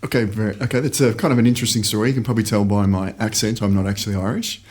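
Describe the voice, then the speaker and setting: Middle-aged man, rapid delivery and easygoing, a founder telling his story on a podcast. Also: fairly low pitch, breathy edge. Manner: relaxed storytelling with frequent restarts. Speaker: guest, company founder. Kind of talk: conversational interview answers. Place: office in a shared workspace.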